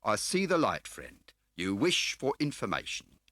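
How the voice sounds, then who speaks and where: A fat, shifty car dealer for an audiobook. The voice is pitched well up from the reader's own and swoops about, with boisterous, wheedling, mock-grand phrasing and a bright, forceful edge. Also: male voice, wildly swooping pitch, unhurried, no echo, heavily acted. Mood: sly, florid. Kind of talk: audiobook character voice.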